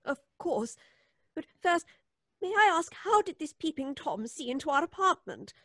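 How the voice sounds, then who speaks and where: Very high, fluttery, genteel spinster. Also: female voice, sing-song, normal speed, a bit bright, no room sound, clean studio sound, full character voice. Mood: prim, indignant. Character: refined, flustered, prim spinster former schoolteacher. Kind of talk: old-time radio suspense acting.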